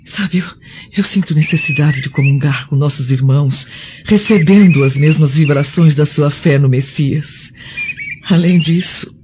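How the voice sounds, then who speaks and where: A woman's voice: low-pitched, measured pace. An actress playing a pious, resigned Roman noblewoman in a radio drama, tender and hesitant. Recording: dull sound, clear background noise.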